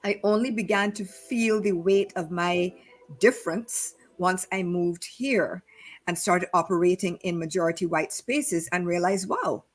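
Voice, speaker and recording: A woman from Barbados with a Barbadian accent, reflecting on personal experience in a panel discussion, deliberate and emphatic in her repetition. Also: on the low side, faint music behind. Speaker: panel speaker.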